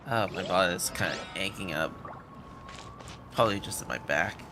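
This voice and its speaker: A man chatting casually as a game livestreamer, halting and rambling with hesitant phrasing. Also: low-pitched. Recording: game music behind the voice.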